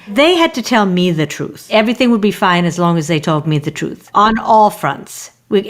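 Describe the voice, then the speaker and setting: A middle-aged woman speaking reflectively and conversationally as a guest in a panel discussion. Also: medium pitch.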